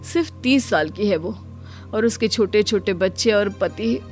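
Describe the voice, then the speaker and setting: A woman's voice: mid-range voice, lively intonation, measured pace, soft background music, natural voice. A woman voicing a weary, compassionate male doctor in a cartoon moral story, speaking earnestly. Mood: sad, burdened.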